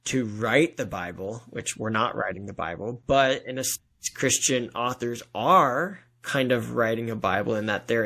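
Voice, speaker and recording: Male podcast guest, an author with an average-pitched voice, conversational, reflective and a little halting in his explanations. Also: fairly bright tone. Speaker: author being interviewed on a podcast.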